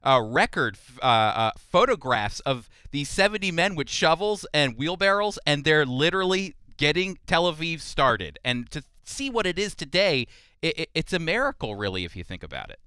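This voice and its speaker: Man, radio host, conversational interviewing, bright forward voice, smooth and clear, close microphone. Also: a little high, very animated, moderate pace, no room sound.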